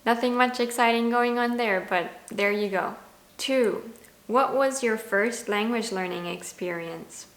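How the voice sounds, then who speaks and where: A woman's voice: a little high, a little slow, echoey room. A woman in her twenties from Germany with a German accent, chatting candidly and self-deprecatingly to camera about learning languages. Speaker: language vlogger. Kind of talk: casual talking-to-camera vlog.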